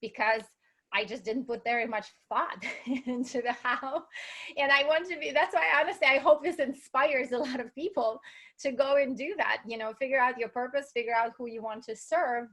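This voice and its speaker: A woman's voice: on the high side, moderate pace, fairly bright tone. Motivational woman with a Russian accent. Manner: enthusiastic, motivational speaker tone. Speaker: guest, entrepreneur. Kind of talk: interview conversation.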